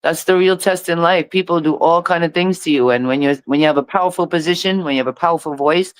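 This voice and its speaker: Grown woman reggae singer, smooth resonant voice, halting and intense, dry close mic. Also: on the low side, dry recording. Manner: halting, repeats herself, intense. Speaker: reggae artist, interview guest. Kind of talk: emotional personal testimony.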